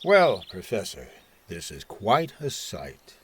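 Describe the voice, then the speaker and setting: A man's voice: fairly low pitch, huge pitch swings, slow pace, fairly bright tone, breathy edge, exaggerated voice. A man playing a belligerent army colonel in a science fiction radio drama, authoritative and used to giving clipped orders. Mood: commanding. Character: hawkish army colonel, commanding, suspicious and belligerent. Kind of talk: old-time radio science fiction acting.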